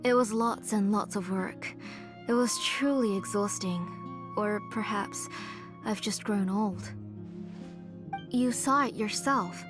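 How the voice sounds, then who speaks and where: An actress playing a weary, devoted elderly aunt who speaks in a soft, tired, measured way in a video game. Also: female voice, mid-range voice, close to an everyday voice. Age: older. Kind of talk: video game dub acting. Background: game music.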